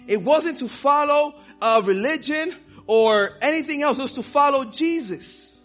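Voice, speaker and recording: A man's voice: very high voice, expressive, measured pace, a bit bright, soft background music. A man from the Dominican Republic preaching a sermon with fervor, weaving in personal stories. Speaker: pastor.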